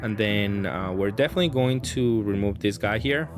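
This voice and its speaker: A man's voice: fairly low pitch, normal speed. Man thinking aloud over background music. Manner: hesitant thinking aloud, trailing off. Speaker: card game player commentating his matches. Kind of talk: casual improvised gameplay commentary.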